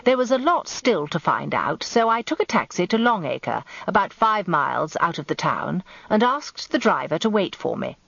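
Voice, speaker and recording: A woman's voice: on the low side, very animated, normal speed, creaky, hardly any pauses, a bit bright, husky, dry recording, exaggerated voice. An actress with an English accent playing an observant, wry lawyer's wife turned amateur detective, confiding her story in a radio drama. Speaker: narrator. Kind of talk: first-person radio drama narration. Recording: dull lo-fi radio recording.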